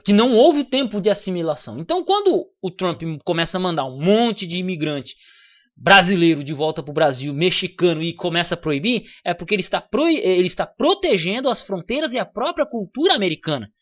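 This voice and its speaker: A man's voice: high-pitched, very animated, brisk, a bit bright, lo-fi sound. A Brazilian man with a Brazilian Portuguese accent, hosting a casual opinion monologue in a blunt, slangy manner with free swearing. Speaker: channel host.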